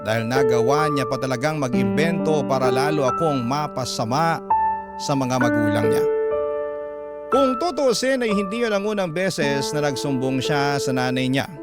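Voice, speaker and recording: A man's voice: mid-range voice, expressive, normal speed. A man with a Filipino accent reading a listener's letter as a dramatic radio storytelling host. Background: prominent keyboard music.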